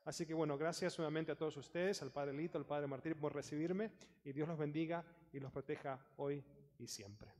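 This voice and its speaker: A man's voice: a little high, steady tone, a little fast, darkish tone. A man, a visiting priest speaking informally to a congregation from the lectern. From Argentina. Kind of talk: informal church appeal. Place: large church, at the lectern. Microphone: headset microphone. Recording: reverberant church hall.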